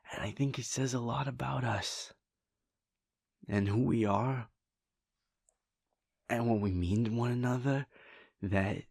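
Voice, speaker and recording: A caring werewolf boyfriend, played by a man speaks slowly and earnestly in a low, husky voice, often dropping close to a whisper. The audio roleplay is recorded close to the mic in a dry room with no echo. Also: heavily acted.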